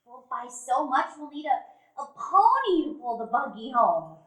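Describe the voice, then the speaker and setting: A woman's voice: high voice, measured pace, heavily acted. An actress in a live radio play voicing an eager, curious young boy. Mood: excited. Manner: eager, excitable. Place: event hall before a live audience. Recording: echoey hall recording.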